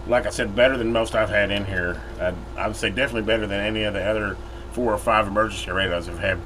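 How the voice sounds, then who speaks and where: A man's voice: fairly low pitch, fast. An American man giving a casual, unscripted product review, recorded inside a metal building. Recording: clear steady background noise.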